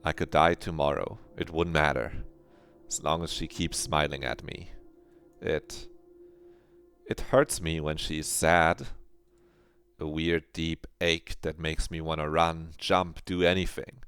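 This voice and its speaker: A man's voice: a bass voice, flat delivery, slow, fairly bright tone, clean tone, soft background music. A man reading a visual novel aloud as a streamer, narrating earnestly and reflectively.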